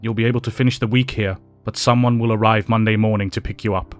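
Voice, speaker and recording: A man playing a grave, principled headmaster in an audio drama, formal and measured. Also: fairly low pitch, fairly even intonation, soft background music, close to an everyday voice. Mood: grave, regretful.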